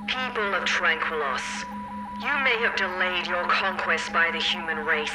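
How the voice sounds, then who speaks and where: A woman's voice: fairly low pitch, a little slow, background noise, close to an everyday voice. A woman playing a pompous, vengeful human invasion commander in a sci-fi comedy audio drama, declaiming grandly. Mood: grandly threatening. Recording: music behind.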